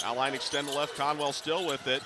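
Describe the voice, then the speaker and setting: A middle-aged man calling live basketball on radio in clipped running descriptions that can rise to excited calls. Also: fairly high pitch, fairly quick, heavy background noise. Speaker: play-by-play basketball announcer. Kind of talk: live radio sports commentary. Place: courtside in a basketball arena.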